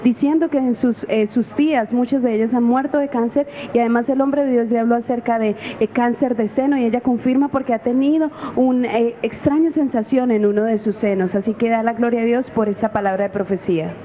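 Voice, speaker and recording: A woman interprets the testimonies at a very fast, rapid pace. Her voice is fairly high. The audio is dull and muffled. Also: fairly even intonation.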